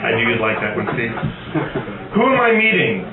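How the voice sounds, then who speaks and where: A man's voice: medium pitch, sing-song, normal speed, heavy background noise. Tinny, echoey man reading poetry aloud. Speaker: amateur poet reading own work at a poetry night. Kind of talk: live poetry reading with informal asides to the audience. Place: busy cafe open-mic room. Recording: tinny phone-like sound, distant, echoey, loud crowd. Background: loud audience chatter.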